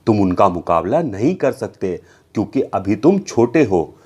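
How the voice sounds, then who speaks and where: A man's voice: on the low side, sing-song, a little slow, a little mellow, husky, pitched below the performer's own voice, full character voice. A man voicing a kindly, cautious uncle in a children's story, explaining things patiently and firmly. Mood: firm, cautioning. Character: kindly, cautious adult uncle who runs a bakery.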